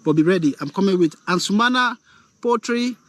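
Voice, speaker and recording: A man's voice: sits high, animated, brisk, fairly bright tone. A man from Sierra Leone with a Sierra Leonean accent, introducing himself in a playful, boastful way. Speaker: guest on a channel. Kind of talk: casual self-introduction.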